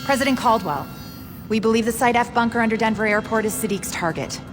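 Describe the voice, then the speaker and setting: A woman acting a tough, blunt covert-operations intelligence officer in an action game, brisk and assertive. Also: a little high, music in the background, close to an everyday voice. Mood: focused.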